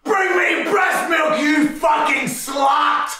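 A man's voice: very high voice, slow, a crowd in the background. A man playing an unhinged, abusive, demanding partner in a prank call comedy, screaming crude orders. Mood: enraged, demanding. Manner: screaming, foul-mouthed, unhinged. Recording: shouting from off mic, echoey, noisy.

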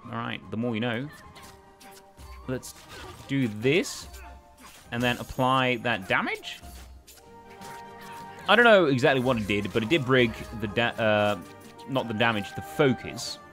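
A man's voice: mid-range voice, very animated, a little slow, fairly bright tone, faint music behind. A man casually thinking aloud as he commentates a video game. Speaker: let's play host.

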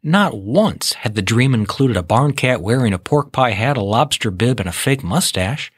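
A man narrates a children's read-along story. His voice is husky and recorded close and dry, with expressive, measured storytelling. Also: medium pitch, no room sound.

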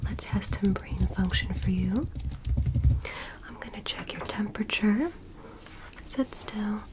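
A woman whispering as a gentle, curious alien doctor in a soft, soothing ASMR medical roleplay. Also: mid-range voice, close to an everyday voice. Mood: soothing, clinical. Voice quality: breathy unvoiced whisper. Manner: soft, slow, soothing reassurance with clinical instructions. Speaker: ASMR performer.

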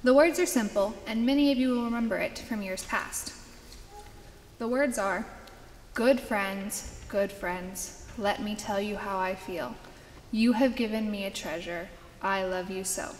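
A woman's voice: mid-range voice, unhurried. A young woman leading a service, making a scripted announcement to a congregation from a church lectern. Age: young adult. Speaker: youth service leader. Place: large church sanctuary, at a lectern. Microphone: lectern microphone. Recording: echoey church hall, faint background noise.

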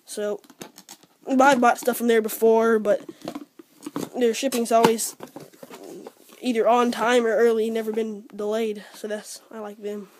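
A teenage boy with an American accent talking casually and a little haltingly as he shows things to a handheld camera at home. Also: very high voice, little pitch movement, a little mellow, slight background noise. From Georgia, United States. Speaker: fishing vlogger. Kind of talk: casual unscripted product showcase. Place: room at home. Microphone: handheld camera at arm's length.

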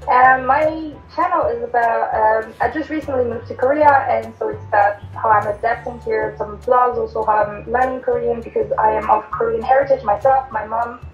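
A woman's voice: a little high, steady tone, normal speed, hardly any pauses, soft background music. Young French woman on a dull, roomy call. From France. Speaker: guest vlogger. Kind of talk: casual video call chat. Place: home room. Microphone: laptop webcam mic on a video call. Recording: dull echoey video call audio.

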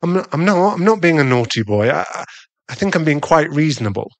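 A man's voice: fairly high pitch, sing-song, dry recording. A man playing a jealous, doting werewolf husband in an intimate ASMR boyfriend roleplay, teasing and a little bratty. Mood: defensive, possessive. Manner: slow, intimate, teasing, with sighs and stammers.

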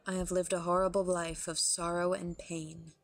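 A woman's voice: lowish voice, level tone, moderate pace, vocal fry, soft background music. A woman playing a gloomy, stoic demon warrior in an audio drama, terse and serious. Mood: somber. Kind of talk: dramatized webcomic audio play.

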